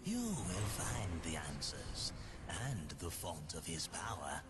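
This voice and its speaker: An actor playing a sly, hungry demon merchant in a video game, theatrical and taunting, with a breathy hiss. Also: male voice, sits low, huge pitch swings, takes it slowly, loud music behind the voice, exaggerated voice. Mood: taunting menace. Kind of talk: video game cutscene acting.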